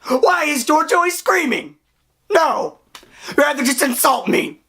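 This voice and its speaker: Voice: high-pitched, big pitch swings, measured pace, full character voice. A voice actor doing a sobbing, hot-headed young man. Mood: bitter. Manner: tearful shouting outburst. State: crying. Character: hot-tempered young man with wacky hair, deeply hurt. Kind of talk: dramatic voice acting.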